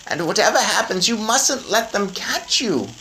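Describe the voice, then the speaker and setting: A woman's voice: mid-range voice, lively intonation, normal speed, sharp tone, slight background noise, voice pushed up, low-quality recording. A woman voicing a doting, practical old grandmother in a storybook, emphatic and instructive. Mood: fond, anxious.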